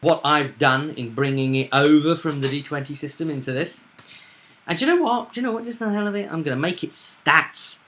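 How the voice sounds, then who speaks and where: A man with a bright, forward voice talks as a game master recapping a session. He is animated and casual, and tends to repeat phrases as he explains. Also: a little high, brisk.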